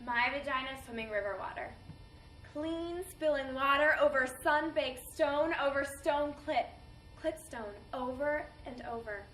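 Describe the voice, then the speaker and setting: A woman's voice: high-pitched, unhurried, fairly bright tone. A woman performing a theatrical monologue as a wistful, tender war survivor remembering her body in lyrical phrases. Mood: wistful, dreamy. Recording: echoey hall, distant mic, faint noise.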